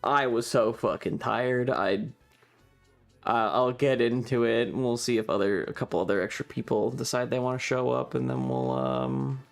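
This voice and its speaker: A man chats casually to his livestream audience at an unhurried pace. His mid-pitched voice often drops into a creaky fry. He rambles playfully, joking with mock indignation, while faint music plays behind him. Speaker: live streamer and host. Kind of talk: casual livestream chat with viewers.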